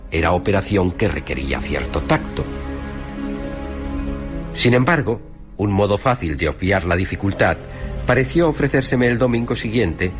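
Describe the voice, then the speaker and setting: A man narrating a literary radio drama as a storyteller, with wry, formal and deliberate phrasing. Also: fairly low pitch. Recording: music playing behind the narration.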